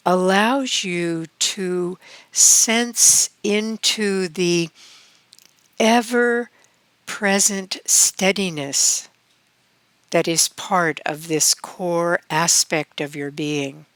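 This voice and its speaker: Female meditation guide: calm, extremely unhurried delivery with long pauses, smooth clear tone, close and dry sound. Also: fairly low pitch, no echo. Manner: calm, measured, with long pauses between phrases.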